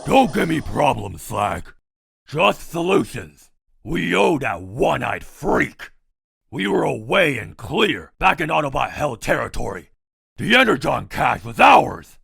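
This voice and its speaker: Man playing a vengeful robot dinosaur boss, loud, growling, gravelly, pitch leaping around, comic dub. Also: big pitch swings, measured pace, dry recording, studio quality, full character voice. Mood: furious. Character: furious vengeful male robot dinosaur leader. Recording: dramatic music underneath.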